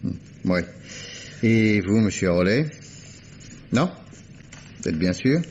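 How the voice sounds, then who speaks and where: An actor playing a sardonic police inspector probing suspects, in an old radio play. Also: male voice, lowish voice, animated, slow pace, a little mellow. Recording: dull old radio recording with faint hiss.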